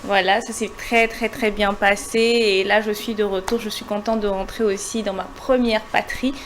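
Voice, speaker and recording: A woman from Ivory Coast speaks as an interview guest, with a medium-pitched voice. She answers earnestly and repeats phrases to stress them. Clear background noise surrounds her. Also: fairly even intonation, moderate pace. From Ivory Coast, of Congolese descent. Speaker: interview guest, businesswoman and influencer. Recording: noisy background ambience.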